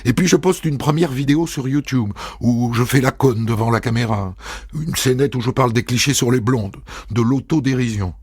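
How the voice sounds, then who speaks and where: Guy reading a story in first person, kinda husky, dry close mic, quiet music under. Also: medium pitch, dry recording. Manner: intimate, measured first-person reading, voicing others in passing. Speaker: narrator. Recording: dry studio voice over soft music.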